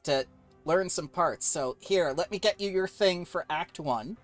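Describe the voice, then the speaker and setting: A game master narrating a tabletop roleplaying session, animated and laughing often. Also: medium pitch, measured pace, soft background music.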